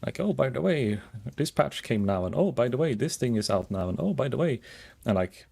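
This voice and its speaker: A calm, soft-spoken man chatting casually while streaming a game, rambling and thinking aloud with many fillers. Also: medium pitch, brisk, vocal fry, slightly rough. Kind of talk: casual gaming stream chat.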